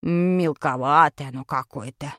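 A woman voicing a serious, bossy schoolboy in a children's story, speaking deliberately and emphatically. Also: deep voice, a bit bright, dry recording, clean studio sound, pitched below the performer's own voice. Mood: doubtful, firm. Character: slow, serious, assertive schoolboy, bossy friend.

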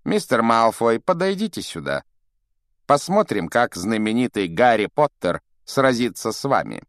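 A man voicing a cold, menacingly polite potions master in an audiobook, with deliberate, disdainful delivery. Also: medium pitch, big pitch swings, fairly bright tone, no room sound, clean studio sound, voice pushed up. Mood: cold sneering. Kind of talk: audiobook character acting.

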